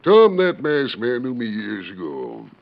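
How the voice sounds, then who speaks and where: An American actor playing a crafty, gruff middle-aged rancher father with a forceful folksy way of talking in a 1940s radio western. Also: mid-range voice, huge pitch swings, measured pace, full character voice. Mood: earnest. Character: gruff, crafty old rancher father testing his son. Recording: dull, band-limited 1940s radio recording.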